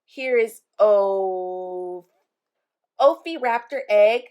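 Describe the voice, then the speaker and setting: A woman reading a children's picture book aloud, pausing to explain things simply to young listeners. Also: medium pitch, darkish tone, clear, resonant tone, dull audio. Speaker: read-aloud storyteller for children.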